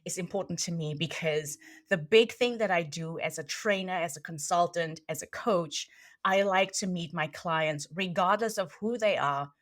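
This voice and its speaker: A woman's voice: on the low side, normal speed. A middle-aged woman from South Africa with a South African accent, a trainer and coach chatting animatedly as a podcast guest. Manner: animated, laughs easily, repeats words when starting. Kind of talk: conversational interview.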